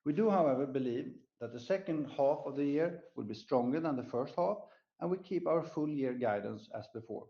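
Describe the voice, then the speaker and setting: A man's voice: a little high, expressive, brisk, muffled recording. A man presenting company results as an executive on an earnings call, reading prepared remarks in a formal way. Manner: formal, scripted delivery. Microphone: conference call line.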